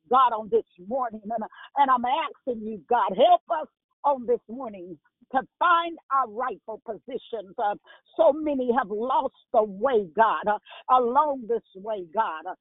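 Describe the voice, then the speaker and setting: A woman's voice: on the high side, husky, no room sound. A woman leading a spontaneous prayer on a phone prayer line, fervent and repetitive, with frequent filler sounds between her cries. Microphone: telephone. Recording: dry tinny phone line.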